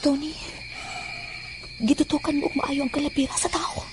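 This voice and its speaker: A woman's voice: high-pitched, flat delivery, moderate pace, lightly acted. A woman acting as an anxious, questioning character in a horror audio drama. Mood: uneasy. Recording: noisy, insects chirping behind.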